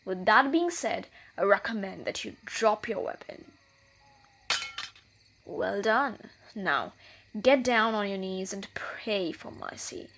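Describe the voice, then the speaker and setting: A woman's voice: medium pitch, lively intonation, slow, noisy background. A woman playing a wily, menacing thief in a scripted fantasy audio roleplay, with a theatrical delivery. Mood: menacing, mocking.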